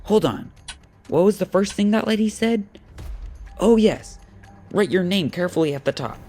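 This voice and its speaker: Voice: mid-range voice, animated, normal speed, dark tone, close to an everyday voice. Someone playing an earnest nervous boy talking himself through a test, audio drama, music underneath. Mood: determined, puzzled. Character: anxious, earnest young boy taking an exam.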